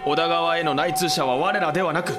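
An actor playing a loyal, aggrieved samurai retainer in a period audio drama, speaking in formal, archaic protest. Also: male voice, a little high, fairly even intonation, a little fast, over music, close to an everyday voice. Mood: aggrieved, earnest.

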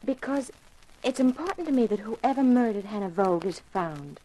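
A young femme fatale in a 1950s radio mystery. She is coy, poised and self-assured, with a fairly high voice that rises and falls expressively. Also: expressive, moderate pace, mellow, poor audio. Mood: intent, mysterious. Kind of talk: 1950s radio drama acting.

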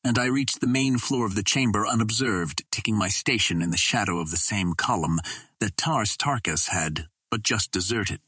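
A man narrating a pulp adventure novel in a measured, dramatic storytelling manner. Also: fairly low pitch, bright, forceful tone, husky, dry recording. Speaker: audiobook narrator.

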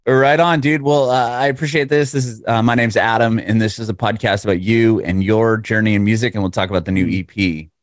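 Deep-voiced male podcast host, dry sound. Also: brisk, few pauses, no echo. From California. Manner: relaxed, friendly, lots of fillers. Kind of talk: casual interview chat. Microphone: video call.

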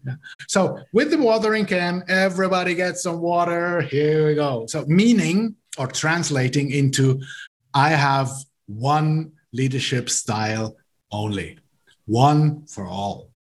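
A man's voice: a little high, measured pace. Male podcast guest, native German speaker from Switzerland, explanatory, a few restarts. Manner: explanatory, uses garden metaphors, repeats words. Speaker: podcast guest, leadership coach. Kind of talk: interview conversation.

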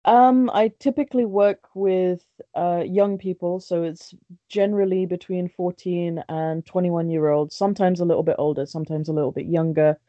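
A woman's voice: on the low side, steady tone, normal speed, mellow, no echo. A woman, a guest talking candidly and chattily about her life, with lots of restarts and fillers. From born in Bangladesh, raised in London. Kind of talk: casual podcast interview. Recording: dry, dull lo-fi sound.

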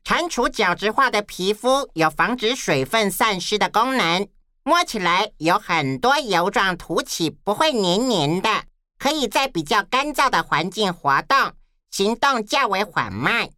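A woman's voice: medium pitch, very animated, measured pace, bright, forceful tone, dry recording, clean studio sound. A woman giving a scripted educational explanation as a children's podcast presenter.

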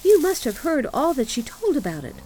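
A woman's voice: sits high, sing-song, fairly quick, dark tone, slight background noise, voice pushed up, low-quality recording. A woman voicing a fierce, wilful little girl in a storybook, speaking in an imperious, emphatic way. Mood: conspiratorial, commanding.

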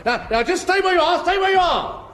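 An actor playing a bossy air raid warden with an English working-class accent, brash and argumentative, in a radio sitcom. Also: male voice, very high-pitched, expressive, a little fast, a bit bright. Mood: alarmed, bossy. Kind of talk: British radio sitcom acting.

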